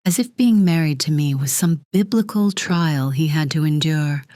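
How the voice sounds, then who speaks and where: An actress playing a cold, controlling corporate executive in an audio drama, speaking in a clipped, deliberate, imperious way with a breathy edge. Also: female voice, deep voice, dark tone, no echo, studio quality, natural voice. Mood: bitter, contemptuous. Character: cold, controlling female corporate executive, divorced, armor cracking.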